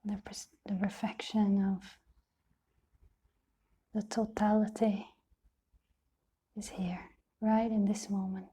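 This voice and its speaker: A woman leading a guided meditation in a soft, breathy, half-whispered voice, speaking gently and soothingly in short phrases with long pauses. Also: medium pitch, level tone. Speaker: meditation teacher.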